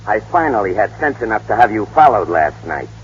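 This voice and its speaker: Cynical slangy tough guy, very raspy, animated, echoing muffled 1930s radio. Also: lowish voice, a little fast, exaggerated voice. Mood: accusing, scornful. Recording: muffled, reverberant old 1930s radio recording.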